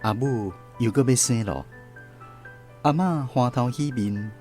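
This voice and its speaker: A woman's voice: very deep voice, unhurried, music in the background. A woman with a Taiwanese accent narrating a radio drama as a grown daughter recounting her family's past. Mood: storytelling.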